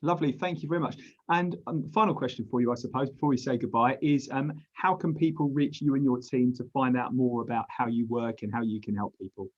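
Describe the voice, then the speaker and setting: Male interview host, mid pitch, encouraging, on dull lo-fi audio. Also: steady tone, fairly quick. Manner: friendly, encouraging short replies. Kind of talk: casual interview questions.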